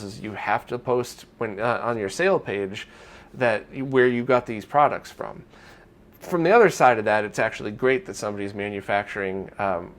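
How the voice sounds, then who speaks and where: A man presenting an informal retro gaming news roundup in a conversational, opinionated way. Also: on the low side.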